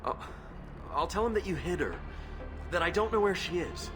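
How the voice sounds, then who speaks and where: A man's voice: sits high, animated, moderate pace. A man playing a resentful, defensive old friend being confronted in a video game drama. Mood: frightened. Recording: loud game music behind.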